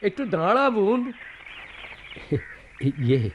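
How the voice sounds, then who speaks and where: Casting: knowing, teasing villager, rural Bangladeshi accent, highish swooping voice, radio play with faint noise. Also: male voice, very animated, slow, lo-fi sound, heavily acted. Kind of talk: radio drama acting.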